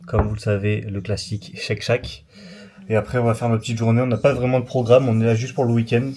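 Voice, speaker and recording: A young man hosting a casual travel vlog, talking to a handheld camera in an upbeat, joking way as he walks through a hotel room, busy streets and shops. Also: mid-range voice. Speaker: vlogger host. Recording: loud music and crowd noise behind.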